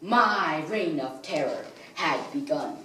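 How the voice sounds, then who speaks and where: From across an echoey room with some background noise comes a grandiose, bitter villain played by a young girl. He tells his story in a slow, theatrical stage delivery, swinging widely in pitch between weighty pauses and swelling outbursts. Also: expressive, distant mic. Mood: gloating menace. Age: child or young teenager, 13 or under. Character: melodramatic male soap-opera villain, bitter and grandiose crime lord.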